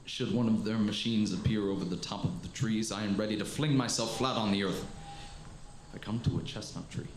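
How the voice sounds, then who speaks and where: A man performs a solemn lone survivor, a learned professor, telling his tale in a vintage radio drama. His voice is on the low side and his delivery is grave and literary. The room rings with echo over faint noise. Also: fairly even intonation, close to an everyday voice. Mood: grim, weary. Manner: grave, deliberate, literary storytelling. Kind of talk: 1930s radio drama narration, monologue. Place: live performance before an audience in a hall. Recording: echoey live stage, faint noise.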